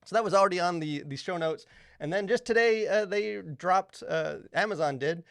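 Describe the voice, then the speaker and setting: A man's voice: high-pitched, normal speed, creaky, a bit bright, clean tone, no room sound. Male podcast host, enthusiastic, hesitant delivery, dry close sound. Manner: enthusiastic, lots of filler hesitations. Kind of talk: casual podcast chat.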